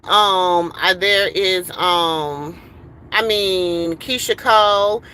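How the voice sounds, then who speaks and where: A woman's voice: lowish voice, drawn-out words, sharp tone, slight background noise. A middle-aged woman ranting on a casual livestream from a car, heated and rambling with many fillers. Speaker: livestream host.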